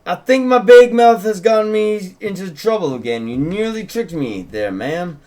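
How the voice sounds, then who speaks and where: A man plays an eager young small-town deputy in a drama table read. The voice sits high and speaks with polite, folksy deference. The manner is talkative and earnest. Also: animated, normal speed, darkish tone. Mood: friendly, chatty. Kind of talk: script table read, in character.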